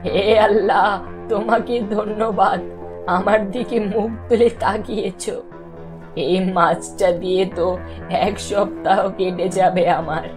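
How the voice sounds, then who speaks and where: A woman's voice: mid-range voice, fairly even intonation, music in the background, lightly acted. A woman voicing a poor, crafty old village woman in a fairy-tale cartoon, with a plaintive, muttering manner. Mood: grateful. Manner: slow, plaintive muttering to herself.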